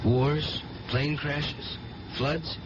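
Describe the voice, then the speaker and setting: A man's voice: on the high side, level tone, very slow. An actor playing a devout, earnest young man who believes he has divine powers, in a 1970s radio drama. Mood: fervent, pious. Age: young adult. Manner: earnest, pious, solemn. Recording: muffled, noisy 1970s radio broadcast recording.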